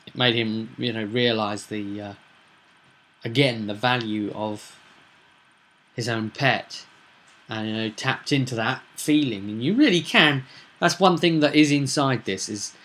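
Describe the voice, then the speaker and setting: A man talks through a tabletop roleplaying game as its host. His voice sits at an ordinary middle pitch. He explains things casually and conversationally, wandering into asides addressed to the listener. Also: a bit bright.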